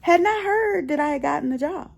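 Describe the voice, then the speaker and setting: American woman vlogging from her bedroom, kinda high voice, animated casual storytelling, repeats herself for emphasis. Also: brisk, vocal fry. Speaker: personal vlogger. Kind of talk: casual storytelling vlog to camera. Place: bedroom at home.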